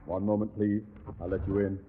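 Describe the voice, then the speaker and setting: An actor playing a pompous, nervous, middle-aged school principal with sarcastic, theatrical bluster in a radio sitcom. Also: male voice, on the low side, level tone, a little fast, big-room sound, natural voice. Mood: wary. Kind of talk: 1950s radio sitcom acting. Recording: dull, noisy old radio recording.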